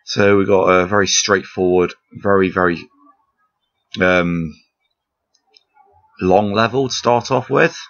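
A man casually commentating on a puzzle game as he plays, rambling and poking fun at himself, his voice croaky today. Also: deep voice, slow, pauses often, fairly bright tone. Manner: rambling, self-deprecating, chuckles often. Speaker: gameplay commentator. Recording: slightly dull lo-fi recording with game music behind.

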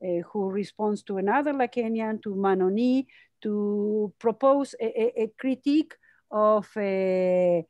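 A woman's voice: mid-range voice, a little slow, a little mellow, dry recording, dull audio. Thoughtful woman academic, dry headset sound. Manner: thoughtful, hesitant with many uh fillers. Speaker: psychoanalyst speaker. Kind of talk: academic lecture and Q&A. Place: home study with bookshelves. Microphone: headset on video call.

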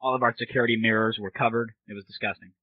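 A man's voice: fairly low pitch, steady tone, racing, husky, no echo. A sarcastic, foul-mouthed man hosting an irreverent comedy show with prank calls. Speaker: show host. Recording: dull lo-fi old internet radio audio.

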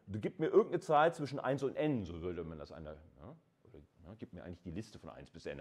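A male university lecturer with a fairly low voice. He explains step by step in an informal, colloquial style, speaking straight to his listeners as if in conversation. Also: darkish tone.

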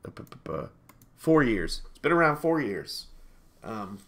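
A man's voice: mid-range voice, sing-song, unhurried, a bit bright. A man in his thirties, sniffly from allergies, chatting casually over a headset on a video call from a small home room, rambling and correcting himself as he goes. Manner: rambling, self-correcting, laughs easily. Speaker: game reviewer co-host.

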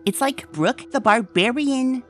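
A woman's voice: on the high side, expressive, a little slow, a bit bright. A woman voicing a ditzy young female pony with valley-girl phrasing in a cartoon comic dub. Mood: breezy. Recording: music behind the voice.

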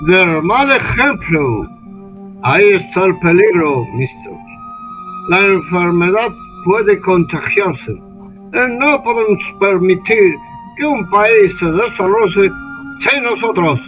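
A man's voice: high-pitched, expressive, slow pace, faint music behind. An older man playing a greedy, cynical British merchant in an amateur radio drama over a video call. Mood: scheming. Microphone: webcam on video call. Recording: tinny phone-like video call audio.

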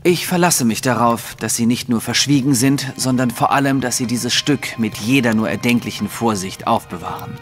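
A man's voice: medium pitch, moderate pace, few pauses, soft background music, natural voice. An actor playing a haughty, secretive nobleman in an audio drama, speaking formally and with self-assured command. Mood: imperious, assured. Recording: reverberant hall, faint background noise.

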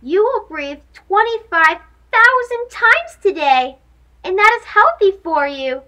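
A woman's voice: extremely high pitch, sing-song, slow pace, sharp tone. A young woman hosting a children's read-aloud, talking in a sing-song way to little listeners as she reads a picture book.

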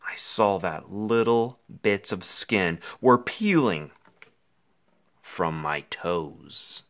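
A man reading a rhyming children's story aloud theatrically, with dramatic pauses, as an anxious, melodramatic five-year-old boy. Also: low voice, lively intonation, fairly bright tone. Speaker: picture book reader.

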